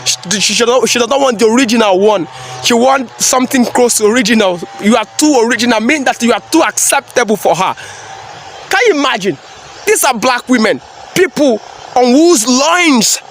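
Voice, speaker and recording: High-pitched emphatic male commentator. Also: fairly bright tone. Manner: emphatic, preachy, repeats phrases for effect. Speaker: commentary vlogger. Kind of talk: reaction commentary monologue.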